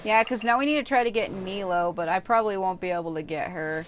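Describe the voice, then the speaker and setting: A woman's voice: mid-range voice, quick pace, slight background noise, low-quality recording. A woman livestreaming a game, chatting in a rambling, informal way with some casual swearing. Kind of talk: casual livestream chat while playing. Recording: game music behind the voice. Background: game music.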